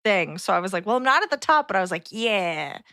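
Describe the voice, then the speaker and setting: A woman with a medium-pitched voice chats casually as a podcast co-host. She tells everyday anecdotes and acts out the dialogue in them. The sound is dry and close. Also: big pitch swings, fast, vocal fry, sharp tone, clean tone, no echo. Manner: animatedly quotes dialogue in anecdotes. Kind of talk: casual chat, anecdotal storytelling.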